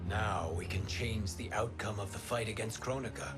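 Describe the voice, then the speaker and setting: A man playing a calm, earnest god in a video game, speaking formally and persuasively in measured phrases. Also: fairly low pitch, natural voice. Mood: grave, earnest. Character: calm, earnest male wind god, a reasoning brother. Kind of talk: video game cutscene acting. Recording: music behind the voice.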